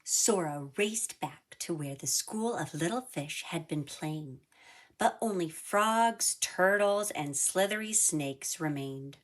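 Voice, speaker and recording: A woman narrating a children's storybook in a deliberate, animated read-aloud style. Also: lowish voice. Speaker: storybook reader.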